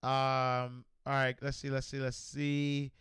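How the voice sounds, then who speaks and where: A man with a New York accent hosting a livestream, loudly and energetically answering viewer comments in casual chat. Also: medium pitch, slow pace, clean tone. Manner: loud and energetic, reads comments aloud. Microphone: desk microphone.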